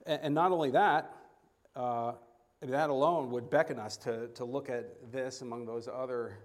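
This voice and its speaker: A man preaching a sermon as a guest speaker, halting and often repeating words, with self-deprecating asides. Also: mid-range voice, fairly even intonation.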